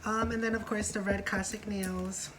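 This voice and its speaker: A woman's voice: mid-range voice, little pitch movement, moderate pace, a bit bright, a little hiss or hum, poor audio. A woman hosting a casual review vlog, chatting in a slangy way and often checking for agreement. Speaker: vlog host reviewing a TV show.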